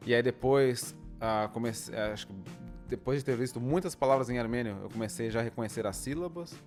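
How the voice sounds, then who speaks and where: A young man with a Brazilian Portuguese accent, chatting casually as co-host and explaining his study method at length, with pauses and restarts. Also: mid-range voice, darkish tone, faint music behind. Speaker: co-host, language learner. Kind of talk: casual chat.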